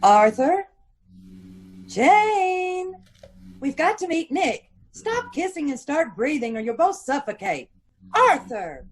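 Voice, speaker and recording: A woman's voice: high voice, very animated, slow pace, exaggerated voice. A woman playing a poised, dryly witty detective's wife in an old-time radio comedy-mystery. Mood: composed, wry. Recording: faint noise and clicks.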